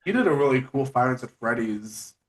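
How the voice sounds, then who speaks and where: Male podcast interviewer, medium pitch, informal fan-to-fan chat with a halting, keen delivery. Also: expressive, poor audio. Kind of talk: casual chat.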